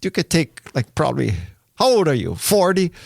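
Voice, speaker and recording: A man's voice: fairly high pitch, sing-song, moderate pace, creaky, breathy edge, no echo, clean studio sound. A man in his sixties from Hawaii hosting a podcast interview, playful and teasing, laughing often. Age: 60s. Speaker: podcast host. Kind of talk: casual interview chat. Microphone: video call.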